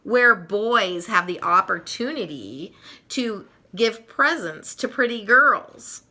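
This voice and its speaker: A woman's voice: medium pitch, expressive, slow pace, sharp tone, full character voice. A woman voicing a pushy, crabby big-sister girl in a children's story, insistent and emphatic. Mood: hinting, smug.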